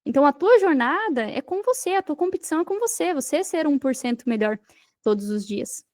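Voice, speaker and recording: A woman's voice: high voice, animated, fairly quick, a little mellow, dry recording, studio quality. A Brazilian woman giving motivational talk as a podcast guest, emphatically repeating her points and speaking straight to the listener. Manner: emphatic, repeats phrases, addresses listener directly. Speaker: podcast guest, digital marketer.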